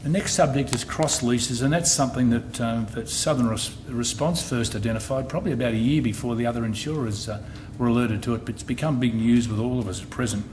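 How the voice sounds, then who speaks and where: A middle-aged Australian man addresses a meeting in a measured way, often stopping to restart a phrase. His pitch sits in the middle range. The recording carries a clear layer of background noise. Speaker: insurance company executive. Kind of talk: presentation at a public meeting. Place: meeting hall. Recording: noisy meeting room recording.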